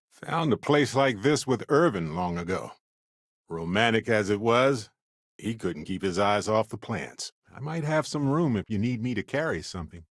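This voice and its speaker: An affable space explorer from a video game, with a lowish voice and a dry, close studio sound. He talks like a curious scientist, wry and easygoing, musing aloud in a conversational way. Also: no echo. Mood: playful, easygoing. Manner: conversational, wry, thoughtful, with hesitant asides. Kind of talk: video game companion dialogue.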